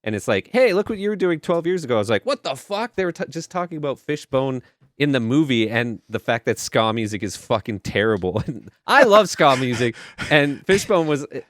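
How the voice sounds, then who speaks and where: A man's voice: medium pitch, sing-song, fairly quick, no room sound, clean studio sound. A man co-hosting a podcast, talking casually about films in an informal, sweary way. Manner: informal, profane. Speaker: podcast co-host. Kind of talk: casual film review chat.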